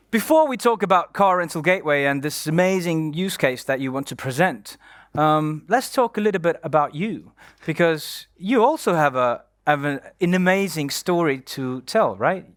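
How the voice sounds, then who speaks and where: Man, conference host, upbeat, playful, higher-pitched, dry sound. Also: expressive, moderate pace, fairly bright tone, no room sound. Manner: upbeat, playful, hypes the guest. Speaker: stage host and moderator. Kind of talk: live conference stage interview. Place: conference stage.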